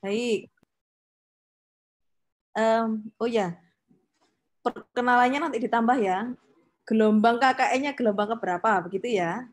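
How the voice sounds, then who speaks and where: A woman runs an online meeting as a lecturer. She speaks informally, directing people and checking in with questions. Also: fairly high pitch. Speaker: lecturer supervising a student group meeting.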